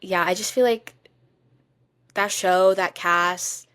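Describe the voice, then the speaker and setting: A woman's voice: fairly low pitch, steady tone, takes it slowly, fairly bright tone. A young woman co-hosting a podcast in casual chat, talking in a gushing, emphatic fan style. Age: young adult. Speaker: podcast co-host.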